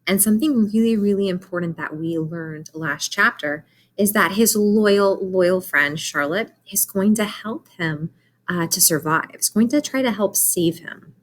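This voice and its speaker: A woman doing an expressive read-aloud for children, speaking directly to her young listeners in an animated way. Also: medium pitch, unhurried.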